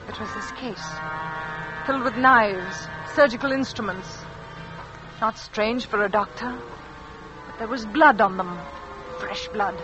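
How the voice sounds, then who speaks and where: An actress playing a frightened, haunted French village wife who tells her story in a hushed, anguished radio-drama style. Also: on the high side, natural voice. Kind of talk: 1940s-50s radio drama acting, confessional narration. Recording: dull old radio recording, music underneath.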